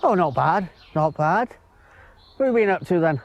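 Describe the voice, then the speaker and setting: Chirpy, high-pitched former schoolteacher. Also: male voice, animated, normal speed, lo-fi sound. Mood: cheery. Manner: effusive, repeats himself. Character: chirpy, kindly older male former schoolteacher. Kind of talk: film dialogue, small talk.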